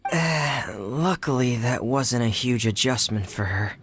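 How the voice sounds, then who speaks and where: Slow, shy teenage boy. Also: mid-range voice, animated, a bit bright, a little hiss or hum. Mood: shy, earnest. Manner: hesitant, earnest, reserved. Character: shy, hesitant, soft-spoken teenage boy. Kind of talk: video game voice acting.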